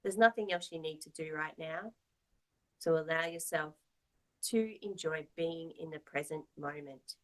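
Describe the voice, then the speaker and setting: Slow-paced woman guiding yoga meditation. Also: sits low. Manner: soothing, measured, gently instructive. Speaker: yoga instructor. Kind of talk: guided meditation instruction for a yoga class.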